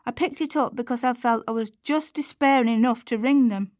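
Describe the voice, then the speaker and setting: Woman acting worried struggling mother, higher-pitched, confiding, colloquial, radio drama monologue, dry sound. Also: fairly quick, a little mellow, no room sound, close to an everyday voice. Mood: anxious, despairing. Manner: confiding, plain-spoken, colloquial. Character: Chantelle, anxious struggling working-class mother on benefits, confiding. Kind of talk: confessional radio play monologue.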